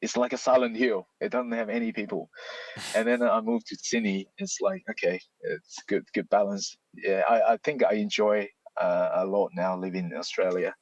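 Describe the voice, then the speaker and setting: A man in his thirties with a Chinese accent, a guest talking with lively emphasis and frequent restarts. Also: fairly low pitch, normal speed, no echo, low-quality recording. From China. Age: 30s. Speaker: guest, software library author. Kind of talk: casual interview chat.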